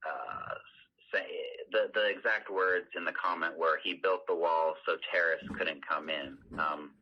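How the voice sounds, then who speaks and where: A man's voice: low voice, measured pace, breathy edge. A man speaking as a teacher, hesitant and placating as he explains himself, over dull lo-fi call audio. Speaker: school teacher. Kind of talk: explanatory conversation.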